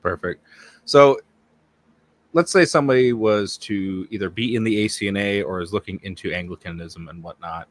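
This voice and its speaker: A man hosting an interview, asking his question haltingly and rephrasing as he goes. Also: on the low side. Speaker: host. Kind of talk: casual interview talk.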